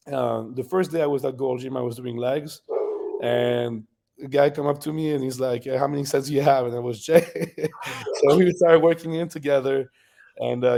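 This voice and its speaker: A man with a French accent recounts his story as an interview guest. His voice is gravelly and mellow, with a steady middle pitch. He talks in an easy, relaxed way and laughs often. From France. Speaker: guest bodybuilder.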